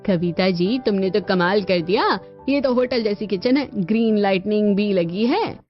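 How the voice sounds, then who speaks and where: A woman voicing a nosy, gossiping neighbour in an animated family drama, full of exclamations and questions. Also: mid-range voice, measured pace, muffled recording, soft background music, lightly acted. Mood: impressed. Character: nosy, gossiping neighbourhood women, surprised and curious.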